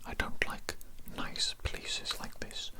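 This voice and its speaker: A performer voicing an evil mad scientist kidnapper in a breathy British whisper, menacing yet fussy, slowly repeating phrases in an ASMR roleplay. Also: natural voice. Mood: gleeful grumbling. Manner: slow, deliberate, repeats phrases.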